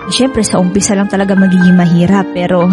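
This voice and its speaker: A woman playing an excited, loving young bride-to-be who teases her friend, in radio drama style with a Filipino accent and a casual, expressive delivery. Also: lowish voice, fast talker, over loud music, natural voice. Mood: reassuring, affectionate.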